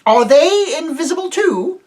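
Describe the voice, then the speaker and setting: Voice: high voice, lively intonation, unhurried, a little mellow, voice pushed up. A reader voicing a brave talking mouse knight who speaks formally and courteously. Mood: courtly.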